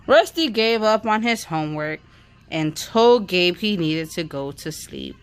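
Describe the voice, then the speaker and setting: Slow, low-voiced woman reading a story. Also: big pitch swings, clear, resonant tone. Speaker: reader of a children's book. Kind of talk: read-aloud storytelling of a children's book.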